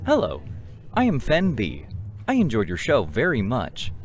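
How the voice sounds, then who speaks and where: A man's voice: medium pitch, wildly swooping pitch, moderate pace, a little hiss or hum. An actor playing an awkward, literal-minded otherworldly young man, stiff and over-formal, in a sci-fi romance drama. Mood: politely awkward.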